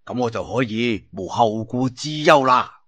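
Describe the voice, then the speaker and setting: A Cantonese man voicing a righteous martial-arts leader with grave, measured oratory in an audio storybook. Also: medium pitch, a bit bright, dry recording. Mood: approving, grave.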